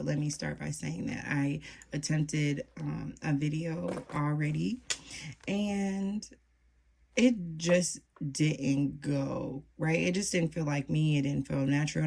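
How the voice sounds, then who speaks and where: A woman's voice: deep voice, gravelly, a little mellow, a little hiss or hum. An American woman in her thirties talking casually and candidly to camera as a budgeting vlogger, often checking in with the listener, recorded on a phone. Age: 30s. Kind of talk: casual, personal talk to camera.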